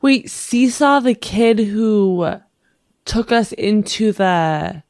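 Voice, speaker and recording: A woman's voice: medium pitch, animated, very slow, a little mellow, no echo. A woman playing a tabletop role-playing game, chatting casually and thinking aloud with some hesitation. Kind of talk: casual improvised game chat.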